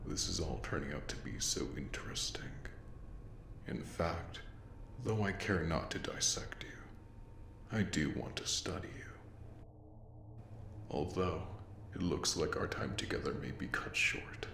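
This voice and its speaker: A man voicing a courtly, menacing, obsessive plague doctor creature in an audio roleplay, speaking in a slow, formal, breathy murmur with a gravelly edge. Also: very deep voice, animated, whispered, exaggerated voice. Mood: possessive, threatening. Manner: slow, formal, old-fashioned diction, softly menacing. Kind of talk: audio roleplay monologue addressed to the listener. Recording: roomy echo with faint background noise.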